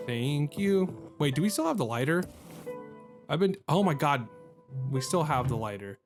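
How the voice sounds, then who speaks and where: A man's voice: on the high side, very animated, moderate pace, music in the background. Wry man doing casual gaming commentary. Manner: wry, jokey, thinking aloud. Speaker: gaming video host. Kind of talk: casual let's-play commentary. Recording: game ambience and noise behind voice.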